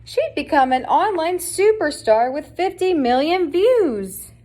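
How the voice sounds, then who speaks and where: A woman's voice: very high voice, sing-song, unhurried, dark tone. A woman reading a children's picture book aloud as scripted narration. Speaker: storybook reader.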